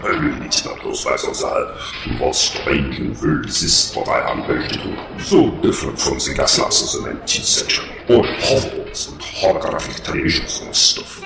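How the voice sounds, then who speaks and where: A man's voice: a bass voice, sing-song, normal speed, gravelly, background noise, exaggerated voice. A man playing a pompous, grandiose vampire count, declaiming in a comic audio drama. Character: pompous theatrical vampire count, long imprisoned. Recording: echoey, distant, with music.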